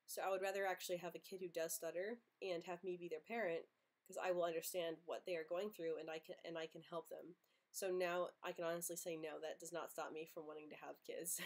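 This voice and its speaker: Young woman host who stutters, open and earnest, slightly low voice, casual live Q&A. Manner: stutters often, with repeated sounds and blocks; earnest, open, laughs easily. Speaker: livestream host answering viewer questions.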